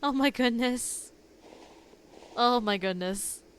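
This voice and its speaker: A woman's voice: on the high side, slow, a little hiss or hum. A woman streamer giving informal, slangy reaction commentary on a video game.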